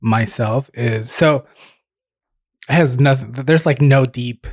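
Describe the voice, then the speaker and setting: A man co-hosting a podcast, telling a lively, self-deprecating story in casual chat. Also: medium pitch, slow, dry recording, studio quality. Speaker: podcast co-host.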